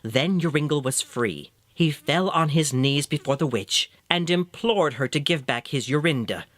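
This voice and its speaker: A man narrating a scripted fairy tale as an expressive, measured storyteller. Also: on the high side, no room sound.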